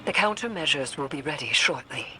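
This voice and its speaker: An actress playing a solemn, cryptic mystic woman in a video game, speaking in a grave, deliberate and ominous manner. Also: low voice, animated, bright, forceful tone, a little hiss or hum, low-quality recording. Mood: grave intensity. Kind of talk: dramatic video game acting. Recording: music behind the voice.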